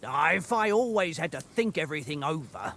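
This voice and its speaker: High-voiced, cocky young medieval soldier. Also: male voice, very animated, a little fast, gravelly, a bit bright, a little hiss or hum, heavily acted. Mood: casual. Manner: cocky, jocular. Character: carefree, boastful, lustful young medieval soldier. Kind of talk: video game cutscene acting.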